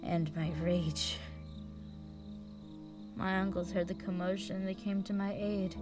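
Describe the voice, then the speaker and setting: A woman playing a traumatized, vengeful mage in a dramatic audio roleplay monologue, speaking with emotion in deliberate, halting phrases. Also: mid-range voice, steady tone, close to an everyday voice. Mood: cold, resolute. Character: traumatized, vengeful female mage recounting her past. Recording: music bed behind the voice.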